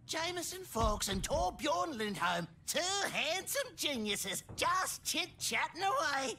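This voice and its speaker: An actor voicing a manic, cheeky young bomber in a video game, with gleeful theatrical delivery. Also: male voice, very high voice, very animated, takes it slowly, sharp tone, slight background noise, exaggerated voice.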